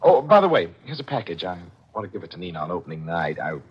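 Husky, deep-voiced scheming killer. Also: male voice, sing-song, exaggerated voice. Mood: sly, smooth. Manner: calculating, measured, conspiratorial. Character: scheming murderous man, smooth-tongued lover and narrator. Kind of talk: 1940s radio thriller acting. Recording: muffled old radio recording.

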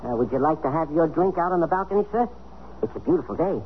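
Obliging waiter actor, narrow vintage sound. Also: male voice, on the high side, expressive, fast, natural voice. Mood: courteous. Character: polite, obliging male room-service waiter. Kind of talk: 1970s radio comedy-drama acting. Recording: narrow old radio sound, loud music behind.

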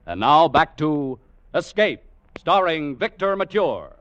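A man's voice: on the high side, big pitch swings, slow pace, heavy background noise. An American man reading scripted promos as a 1940s radio announcer, in a polished, emphatic showman style. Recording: muffled vintage radio recording.

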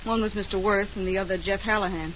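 An actress playing a helpful office receptionist in a 1940s radio drama, talking in an informal, chatty way. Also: female voice, medium pitch, fairly even intonation, fast talker, a bit bright, natural voice. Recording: slightly noisy late-1940s radio recording.

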